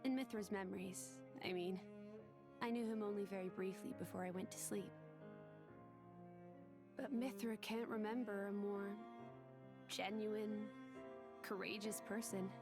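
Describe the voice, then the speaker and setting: A woman playing a gentle, thoughtful young female companion in a video game, speaking softly and with care. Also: medium pitch, fairly even intonation, a little slow, long pauses, over music, natural voice. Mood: fond. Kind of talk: video game cutscene voice acting.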